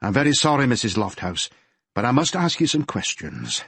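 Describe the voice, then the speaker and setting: A man playing a courteous male police detective, who questions in a measured, polite and gently firm way. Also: mid-range voice, big pitch swings, slightly rough, no room sound, pitched above the performer's own voice, exaggerated voice. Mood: calm, sympathetic. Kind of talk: audiobook character voice.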